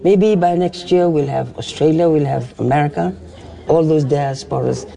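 Gravelly-voiced Fijian man explaining. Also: on the high side, normal speed, mellow. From Fiji. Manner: earnest, explains with "see?" tags. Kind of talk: interview clip.

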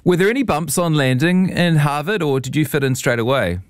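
A man with a New Zealand accent hosting a radio interview, relaxed and chatty. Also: a little high, a little fast, no room sound, clean studio sound. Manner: relaxed, chatty, says "mate". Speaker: radio host.

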